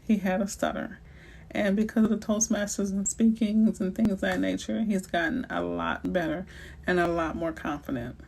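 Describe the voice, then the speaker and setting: A woman's voice: medium pitch, normal speed, gravelly, a little mellow, husky. A middle-aged woman from New Orleans with a New Orleans accent, hosting a casual live Q&A as a realtor and chatting playfully with slang and asides. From New Orleans, Louisiana. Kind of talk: casual live-stream chat answering viewers.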